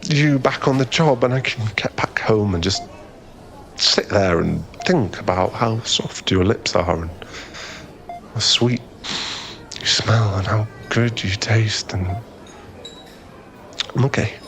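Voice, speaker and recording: A man playing a flustered, smitten young man in an ASMR boyfriend roleplay, halting and stammering as he rambles nervously to a crush. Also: on the low side, fairly bright tone, soft background music. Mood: flustered, overwhelmed.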